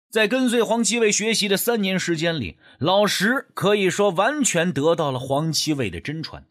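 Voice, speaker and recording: A man's voice: fairly high pitch, fairly bright tone. A man narrating a scripted suspense story as an audiobook, with a storyteller's dramatic phrasing.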